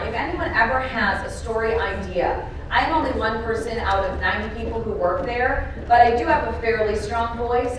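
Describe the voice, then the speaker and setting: A woman's voice: medium pitch, background noise. A woman acting as emcee at a conference, speaking upbeat and direct to the room and thanking people. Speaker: event emcee. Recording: echoey hall, voice heard from far off.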